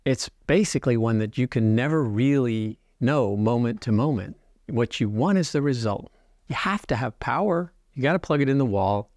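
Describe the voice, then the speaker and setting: Male technical explainer, mid-pitched, measured and deliberate with pauses, close dry recording. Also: darkish tone, dry recording. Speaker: loudspeaker company founder and designer. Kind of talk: explanatory product talk to camera.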